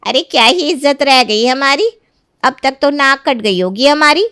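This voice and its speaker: Woman acting a high-pitched, haughty Indian mother-in-law. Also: lively intonation, moderate pace, bright, forceful tone. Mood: scornful. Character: indignant, haughty middle-aged mother-in-law in a family drama. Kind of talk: Hindi moral-story cartoon dubbing.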